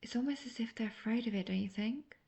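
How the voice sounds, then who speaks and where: Obsessive witch played by a woman for intimate audio roleplay: creaky, breathy, coaxing, sweetly manipulative. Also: on the high side, warm tone. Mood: teasing, probing. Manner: breathy, slow, coaxing, often half-whispered. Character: obsessive young female witch, lovestruck and manipulative.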